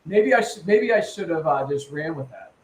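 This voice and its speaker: A man's voice: a little high, expressive, moderate pace. A man hosting a casual talk show who rambles, repeats his words and keeps checking in with "right?" and "man". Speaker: host. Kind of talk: casual chat. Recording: echoey room with faint ambience.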